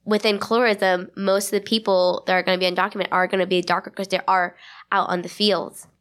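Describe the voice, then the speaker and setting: A woman in a candid group discussion, explaining points in a measured way. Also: medium pitch, little pitch movement, fairly bright tone.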